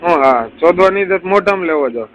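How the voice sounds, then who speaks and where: A man's voice: high voice, expressive, fairly quick, a bit bright. A young man chatting crudely on a recorded phone call, swearing casually and often. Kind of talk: casual private phone chat.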